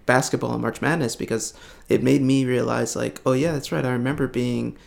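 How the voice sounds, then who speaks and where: A man's voice: medium pitch, steady tone, fairly quick, creaky. A man in his thirties chatting casually as a podcast co-host, reflective and rambling, with many fillers. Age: 30s. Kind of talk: casual conversation.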